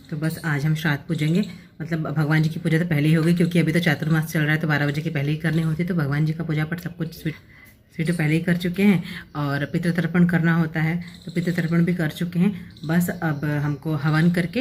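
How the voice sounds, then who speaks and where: A woman's voice: on the low side, darkish tone, slight background noise. Chatty woman vlogging at home. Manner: talkative, explains at length, rambling asides. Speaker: family vlogger. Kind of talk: casual chatty vlog.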